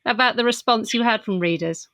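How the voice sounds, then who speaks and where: A woman's voice: fairly high pitch, fairly quick, fairly bright tone, dry recording, studio quality. A British woman interviewing a guest on a podcast, with semi-scripted questions. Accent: British English.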